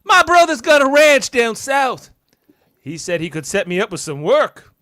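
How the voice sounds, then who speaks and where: A woman's voice: fairly high pitch, big pitch swings, moderate pace, a bit bright, dry recording, clean studio sound. A woman playing a polite male drifter passing through a frontier town in an amateur radio play. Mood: friendly, curious. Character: male starship captain posing as polite drifter.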